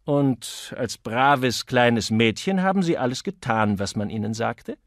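A man's voice: mid-range voice, lively intonation, measured pace, dry recording, pitched below the performer's own voice. A man voicing a cool, enigmatic man who teases in a dry, condescending way, as an audiobook character. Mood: dry, probing. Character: cool, mocking, enigmatic man toying with his listener.

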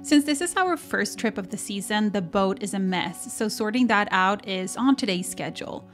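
Woman in her thirties, talking to camera from a small boat cabin. Her pitch sits mid-range, neither high nor low, and her chat is loose and meandering with frequent self-corrections. The camera is set up close on a table. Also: expressive, moderate pace, faint music behind. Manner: chatty, rambling, self-correcting. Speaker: vlogger. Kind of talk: casual vlog chat to camera.

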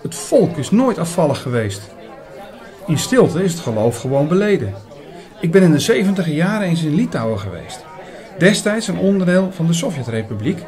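A man playing an earnest older priest who narrates a radio drama in a measured way, with dramatic pauses. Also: fairly high pitch, very animated, a little mellow. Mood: thoughtful, eager. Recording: busy chatter behind the voice. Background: busy restaurant crowd.

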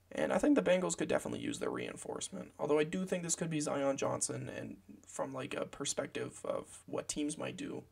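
A young man with a gravelly voice, hoarse from a cold, giving casual football draft commentary from a small room at home, rambling with lots of fillers. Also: medium pitch. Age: young adult. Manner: meandering, filler-heavy, self-correcting.

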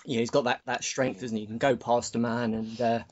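A man hosts a casual sports podcast in a mid-pitched voice. The audio is dull and lo-fi, and he talks like he is among mates. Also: flat delivery, brisk. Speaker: podcast host.

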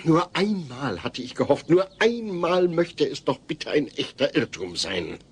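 An actor playing a pedantic, long-suffering family father, formal and measured, in a German sitcom audio play. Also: fairly high pitch, sing-song, husky, exaggerated voice. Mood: weary, exasperated. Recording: faint hiss, old cassette audio.

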